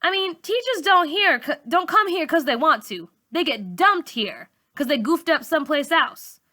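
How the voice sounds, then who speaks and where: A woman's voice: high-pitched, lively intonation, normal speed, bright, forceful tone, voice pushed up. A woman voicing a blunt, nosy middle-school girl from a storybook, with informal, challenging questions. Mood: blunt, curious.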